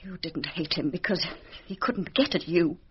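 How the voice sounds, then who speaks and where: A young British lord's daughter, overwrought and bitter. Her voice swings widely with theatrical intensity and has a breathy rasp. The radio drama recording sounds a little dull. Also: on the low side, expressive, moderate pace. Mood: bitter, defiant.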